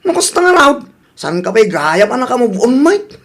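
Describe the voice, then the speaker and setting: A man puts on a high, swooping voice for a male gossip in a comedy radio drama. He is mocking and nosy, needling his friend with animated delight. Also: moderate pace, full character voice. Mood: teasing. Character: teasing gossipy male buddy of a heartbroken man.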